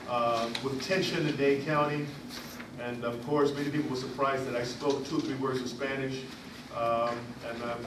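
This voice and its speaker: A man chairing a community board meeting, reading out his report with many fillers and restarts. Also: medium pitch. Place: meeting room. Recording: echoey, distant, noisy room recording.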